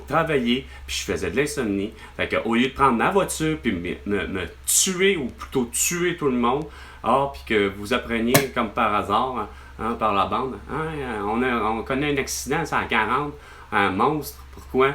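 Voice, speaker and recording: A man's voice: mid-range voice, pushed and bright. A man with a Québécois accent, venting to his mother on a phone call, rambling and exasperated, swearing often. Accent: Québécois French. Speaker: vlogger.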